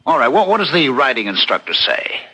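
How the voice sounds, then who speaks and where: A man's voice: medium pitch, big pitch swings, brisk, pushed and bright, slightly rough, full character voice, low-quality recording. An actor in a 1970s radio drama playing a firm, reasoning man loyal to his friend. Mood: firm, remonstrating.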